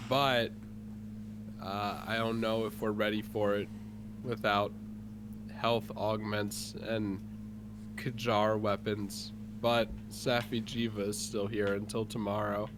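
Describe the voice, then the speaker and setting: A man's voice: on the low side, fairly even intonation, slow, long pauses. A man with a stuffy, congested nose, rambling through gaming plans in casual voice chat. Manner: rambling, explains game plans with fillers. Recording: noisy voice chat audio.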